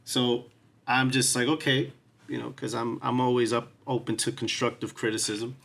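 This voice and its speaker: A man's voice: medium pitch, little pitch movement, normal speed, creaky, a bit bright. A man, hosting his podcast and talking earnestly about his life and the show in a casual, reflective way. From New York City. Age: 40s. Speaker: podcast host. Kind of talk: solo podcast introduction.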